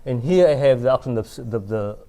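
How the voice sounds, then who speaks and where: Male math lecturer, middle-aged, mellow voice, halting delivery with restarts, lapel mic in a big lecture hall. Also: medium pitch, lively intonation, clean tone. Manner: halting, many restarts and fillers, asks "right?". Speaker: mathematics lecturer. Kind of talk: chalkboard lecture. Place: large lecture hall at a chalkboard.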